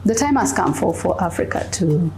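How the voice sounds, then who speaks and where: A woman's voice: lowish voice, lively intonation, a little mellow, a little hiss or hum. A woman from Kenya speaking earnestly in a documentary interview about mission work. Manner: earnest, measured, appealing. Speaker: mission organization leader.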